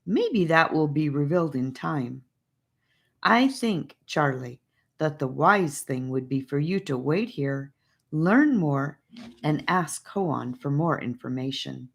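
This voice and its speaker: A woman voicing a thoughtful, stern older boy leader who speaks slowly and lectures in an audiobook story. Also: low voice, animated, vocal fry, slightly rough, exaggerated voice. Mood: measured, cautious. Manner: slow, deliberate, lecturing.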